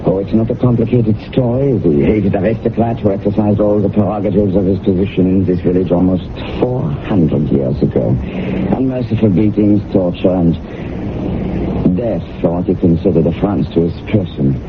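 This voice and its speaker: A man's voice: sits low, lightly acted. Courteous, guarded German local guide in a radio play, male actor, formal delivery, thin noisy vintage sound. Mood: solemn. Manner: formal, polite, measured. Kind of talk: 1970s radio drama acting. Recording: noisy, tinny old radio recording.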